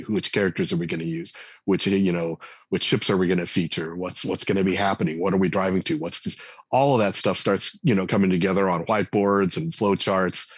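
A man's voice: sits low, breathy edge, no room sound. A man speaking as a podcast guest, talking in a thoughtful, rambling way with lots of fillers and restarts. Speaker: game writer and designer guest. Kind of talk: conversational podcast interview.

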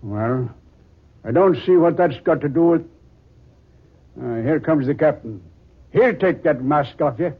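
A man's voice: fairly high pitch, animated, a little slow, long pauses, slightly rough. An actor playing a brash, wisecracking, skeptical policeman who talks in slang and stammers, in a 1940s radio drama. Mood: puzzled. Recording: tinny, band-limited 1940s radio recording.

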